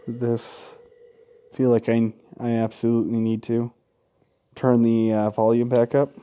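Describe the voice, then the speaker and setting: A man doing gaming commentary, reading a visual novel aloud with casual, sweary asides and an expressive, dramatic delivery. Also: mid-range voice, unhurried, clear, resonant tone. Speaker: let's play host. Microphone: close gaming microphone.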